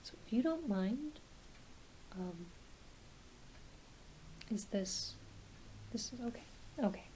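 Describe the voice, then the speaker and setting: A woman performing a soft-spoken, often whispered ASMR roleplay as a doctor, talking gently and reassuringly to a patient with some hesitancy. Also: a little high, animated, slow, pauses often, poor audio. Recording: clear background hiss.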